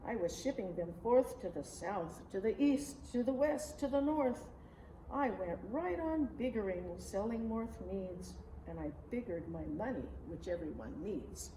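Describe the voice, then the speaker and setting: A woman reading a rhyming children's story aloud in an expressive, measured, dramatic way. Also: mid-range voice. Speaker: storybook reader. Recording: roomy, distant mic, faint noise.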